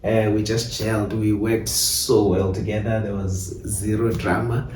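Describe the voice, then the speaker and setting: South African man, mellow, in a roomy space. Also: lowish voice, level tone, a little slow. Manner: switches between English and Xhosa. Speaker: playwright and director being interviewed. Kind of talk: reflective interview talk. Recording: roomy, distant mic, faint background noise.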